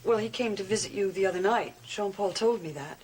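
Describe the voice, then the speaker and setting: Actress playing a troubled gothic soap bride-to-be. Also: female voice, mid-range voice, fairly quick, a little mellow, lightly acted, rough recording. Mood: uneasy, hesitant. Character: troubled, confused young bride-to-be in a gothic mansion. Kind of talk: 1970s gothic television soap opera acting.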